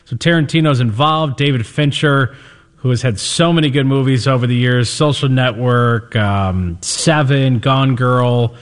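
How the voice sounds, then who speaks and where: A man's voice: mid-range voice, unhurried, in a large hall. A man co-hosting a radio show, chatting about movies in an enthusiastic, conversational way. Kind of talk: casual entertainment chat.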